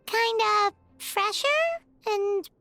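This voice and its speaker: A woman voicing a tiny, cheerful fairy companion girl in a video game, with a squeaky childlike voice and a cute, perky manner. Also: little pitch movement, extremely slow, music in the background, exaggerated voice. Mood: relaxed, content.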